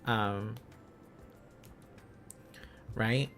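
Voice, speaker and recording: A man chatting casually as a livestream host, musing aloud in halting, repeated phrases. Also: on the low side, fairly even intonation, fairly bright tone, soft background music. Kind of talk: casual livestream chat while learning a language.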